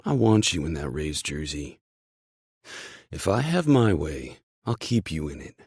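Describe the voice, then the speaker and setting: A man's voice: a bass voice, very animated, unhurried, a little mellow, husky, no room sound, studio quality, full character voice. A man voicing a hearty, encouraging male team boss in an emphatic, fatherly way for an audiobook. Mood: hearty, proud.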